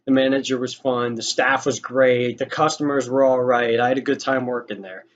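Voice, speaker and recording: A man's voice: mid-range voice, fairly even intonation, moderate pace. A young man telling a casual story in a rambling, informal way with some swearing. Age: young adult. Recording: dull lo-fi audio with noticeable noise.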